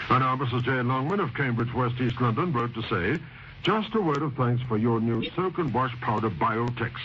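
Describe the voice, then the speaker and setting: A man reading a scripted testimonial radio advert in a polite, formal, persuasive way. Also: medium pitch. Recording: dull, faintly noisy vintage radio recording.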